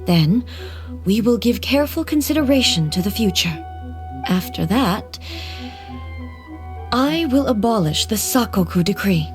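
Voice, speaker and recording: A woman acts a dignified ruling goddess from a video game. She speaks formally and with stately resolve at a medium pitch, with soft music behind her. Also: lively intonation, measured pace, long pauses, close to an everyday voice. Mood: solemn, resolute. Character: solemn, dignified female ruler deity, formal and resolute. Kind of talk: video game character acting.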